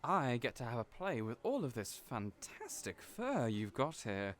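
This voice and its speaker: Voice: mid-range voice, huge pitch swings, a little slow. An actor in an audio roleplay playing a cheerful, chatty hairdresser who is theatrical, effusive and playfully teasing. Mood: cheerful, soothing.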